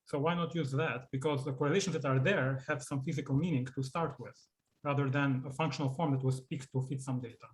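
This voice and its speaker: A man in the audience of an online seminar, asking an academic question in a deliberate, polite but challenging way. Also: mid-range voice, fairly even intonation.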